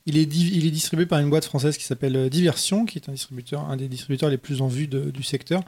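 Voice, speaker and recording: A man hosting a podcast, giving a casual spoken report in halting, hesitant phrases. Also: a little high, fairly even intonation, darkish tone. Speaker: podcast host, festival reporter.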